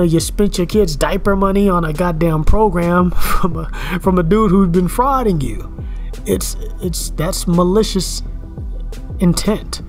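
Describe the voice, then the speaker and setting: Ranting man with a high-pitched voice. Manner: ranting, repeats words and "you know what I'm saying". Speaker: fitness vlogger. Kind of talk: casual commentary rant. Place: indoors at home, in front of a camera.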